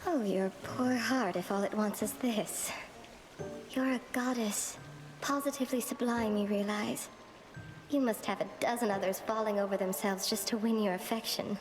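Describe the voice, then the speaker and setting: A woman voicing a lonely, guarded recluse in a video game, gentle, self-deprecating and hesitant. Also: medium pitch, fairly even intonation, normal speed, soft background music, lightly acted. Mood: wistful, tender. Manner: hesitant, wistful.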